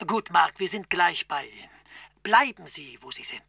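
An actor playing a middle-aged, two-faced senior official in a radio drama, speaking formally with smooth false concern. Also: male voice, sits high, expressive, moderate pace, pushed and bright, dry recording, exaggerated voice. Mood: urgent concern.

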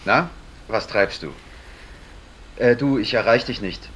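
A man's voice: lowish voice, unhurried, lightly acted. An actor playing a middle-aged, affectionate and awkward father, hesitantly rambling through a voice message in an audio drama. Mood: fond, hesitant. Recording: voice message with background noise.

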